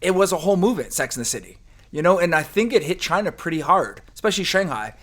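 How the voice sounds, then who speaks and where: A man with a New Jersey accent talks casually as a podcast guest. His voice sits a little high for a man. He jokes freely and fills gaps with "uh" and "like". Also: animated, fast talker, a bit bright. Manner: playful, loose, with fillers. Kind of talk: casual interview chat.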